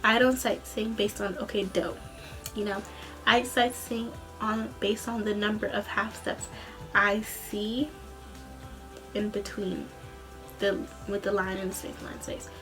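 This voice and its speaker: A young woman telling a story in a casual, rambling vlog style, animated, with a slightly tired, tense voice. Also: mid-range voice, measured pace, over music. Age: young adult. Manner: animated, rambling, acts out quoted dialogue. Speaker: student singer and vlogger.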